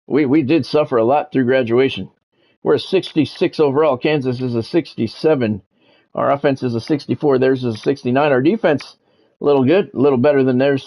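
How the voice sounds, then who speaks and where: A man's voice: medium pitch, dark tone. An American man chatting casually and doing sports commentary as a game streamer, informal and wry with a self-deprecating streak. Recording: dull, lo-fi microphone sound.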